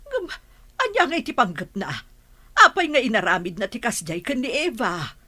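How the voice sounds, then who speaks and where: A woman's voice: on the high side, big pitch swings, moderate pace, sharp tone, exaggerated voice. A woman playing an anxious, questioning village mother in an Ilocano radio drama, with an Ilocano accent. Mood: puzzled. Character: worried village mother of a missing daughter.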